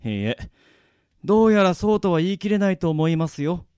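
A man voicing a calm, polite male demon companion who sounds tired of his friends, in a radio drama. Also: high-pitched, a little fast, smooth, dry recording, clean studio sound, close to an everyday voice. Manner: polite, cool, sighing.

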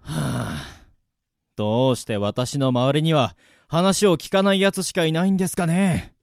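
A courteous, cool-headed demon companion with a tired sigh in his words, played by a man. The sound is dry and close. His voice sits slightly high. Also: measured pace, gravelly, dry recording. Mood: weary. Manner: polite, cool, sighing. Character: polite male demon companion, calm and weary. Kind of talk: radio drama acting.

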